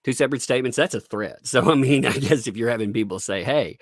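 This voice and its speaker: A man with a deep voice streaming casual commentary from home, profane and sarcastic, laughing often and thanking his chat. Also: fast talker, dry recording, studio quality.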